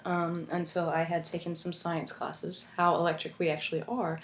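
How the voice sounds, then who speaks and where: A young American woman giving an informative class speech in an earnest, halting way, with frequent restarts, at home with her roommates listening. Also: on the low side. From United States. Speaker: student speaker. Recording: echoey room.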